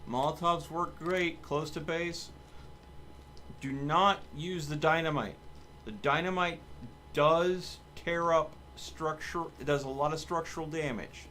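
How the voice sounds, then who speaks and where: A man's voice: a little high, measured pace, bright, forceful tone, slight background noise. A man hosting a livestream, chatting casually and giving instructions to his teammates as he plays a game. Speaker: livestream host and player. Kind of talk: casual gaming stream chat, giving team instructions.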